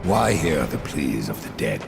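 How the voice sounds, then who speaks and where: An actor playing a grim, sardonic horseman of the apocalypse in a video game, with a gravelly voice and a terse, deadpan delivery. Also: a bass voice, unhurried, over music, full character voice. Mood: cold, terse. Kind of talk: video game cutscene voice acting.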